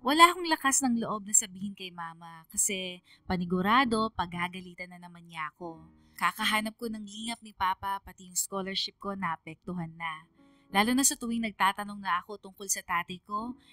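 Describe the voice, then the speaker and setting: A woman's voice: medium pitch, brisk, sharp tone, no echo, soft background music, close to an everyday voice. A woman playing a troubled young letter sender narrating her own story in a Filipino radio drama. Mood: troubled, wistful. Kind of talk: first-person confessional radio drama narration.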